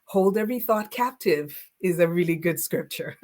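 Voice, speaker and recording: Encouraging woman guest, lowish voice, quick laughs. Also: lively intonation, darkish tone. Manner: encouraging, laughs often, speaks in affirmations. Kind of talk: casual faith-based conversation.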